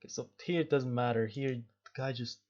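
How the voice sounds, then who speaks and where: A man's voice: mid-range voice, fairly quick, a little mellow, highs cut off. A man hosting a casual game playthrough, talking reflectively and often repeating his phrases as he goes. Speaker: gaming video host.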